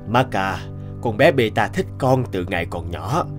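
A man playing a worried, gentle old farmer father giving advice in a cartoon drama. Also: on the low side, animated, measured pace, soft background music. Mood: concerned, advising. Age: older.

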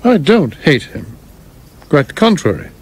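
An actor in a radio play as a cautious, scholarly theater producer, measured and formal, with a dry wit. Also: male voice, a little high, big pitch swings, husky, exaggerated voice. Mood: defensive. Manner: measured, literal, dryly witty, formal wording.

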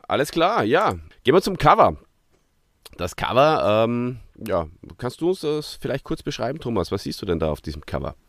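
A man's voice: medium pitch, sing-song, normal speed, a bit bright, no echo, clean studio sound. A man hosting a review podcast in a casual, conversational way. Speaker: podcast host.

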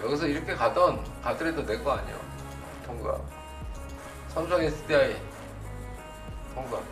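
A middle-aged man giving live stock commentary as a host, blunt and informal, often putting quick questions to his viewers. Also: medium pitch. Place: indoor desk at a computer, livestream setup. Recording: roomy, distant mic, faint music behind.

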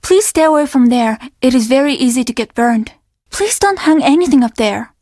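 An actress playing a stern young innkeeper's daughter in a video game, speaking in a measured, formal and easily offended way. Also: female voice, high-pitched, animated, a little mellow, no echo, clean studio sound. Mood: cautious. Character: guarded, stern young Japanese innkeeper's daughter, polite but curt. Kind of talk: video game character dialogue.

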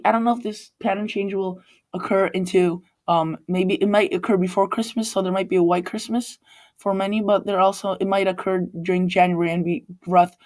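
A man with a Polish accent hosting a weather channel, talking loosely over forecast maps and often stopping to correct himself. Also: high voice. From Poland. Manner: rambling, frequent restarts and self-corrections. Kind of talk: casual forecast over screen-shared maps. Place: Chicago.

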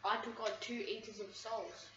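A woman playing a game with a friend, playful and teasing with quick retorts, recorded in a room off a TV. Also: medium pitch, level tone, fairly bright tone. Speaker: gamer. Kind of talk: casual gaming chat. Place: room filmed off a TV screen. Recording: echoey lo-fi room recording with clear noise.

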